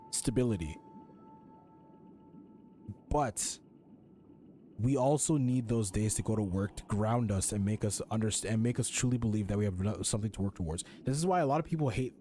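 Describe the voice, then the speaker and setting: Male streamer, mellow tone, mid pitch, blunt, emphatic, repetitive, casual commentary, faint music. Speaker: game streamer. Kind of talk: casual opinionated live commentary.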